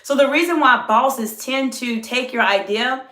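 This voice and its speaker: A middle-aged woman who is an executive coach, talking live to viewers and their chat while she is under the weather, with her voice lower than usual. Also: measured pace. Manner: warm greetings to viewers, coaching tone. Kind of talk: live-stream talk to chat. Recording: echoey room.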